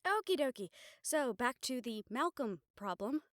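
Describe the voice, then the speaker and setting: A woman's voice: sits high, sing-song, moderate pace, no echo, studio quality. A woman playing a teasing, supportive partner of a vampire in an audio roleplay, in a wry, conversational way. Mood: playful, flustered. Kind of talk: dramatic audio roleplay acting.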